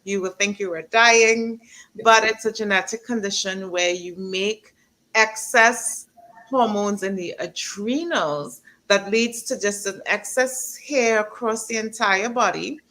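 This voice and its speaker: A woman with a bright, forceful voice explains medical topics at an even middle pitch. She talks in a measured, explanatory way as an interview guest. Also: low-quality recording. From Trinidad. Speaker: doctor guest. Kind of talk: health interview answers.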